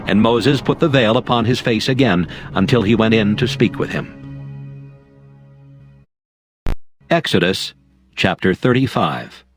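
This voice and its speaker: A man narrating scripture in a steady, formal storytelling manner. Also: lowish voice, unhurried, pauses often. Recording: soft music underneath.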